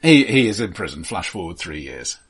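A man co-hosting a film podcast in casual discussion, speaking haltingly with repeated words and dry, wry humour. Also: low voice, sing-song, fairly bright tone, breathy edge.

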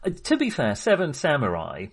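A man's voice: medium pitch, huge pitch swings, normal speed, husky. A man discussing films casually as a podcast host, in a chatty, hesitant, rambling way.

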